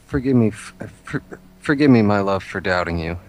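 A man's voice: fairly low pitch, wildly swooping pitch, unhurried, low-quality recording. A man acting a courtly, loving undead patchwork man in an amateur radio play, speaking in a formal, theatrical way. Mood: tender, courtly. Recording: music behind the voice.

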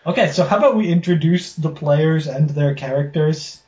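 A man's voice: fairly high pitch, moderate pace, a little mellow, reverberant, dull audio. A man from Rhode Island running a tabletop game as game master, joking offhand and reading a formal charter aloud.

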